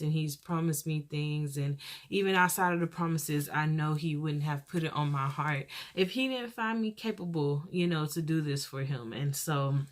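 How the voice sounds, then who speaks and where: A young woman hosting her own podcast with a soft voice, talking casually and earnestly, rambling and pausing as she goes. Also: low voice. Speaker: podcast host.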